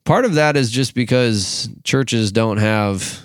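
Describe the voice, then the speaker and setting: Man, late twenties, youth pastor podcast host, smooth voice, dry close mic, rambling casual chat. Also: mid-range voice, slow pace, no echo. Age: 20s. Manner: relaxed, rambling with many fillers and restarts.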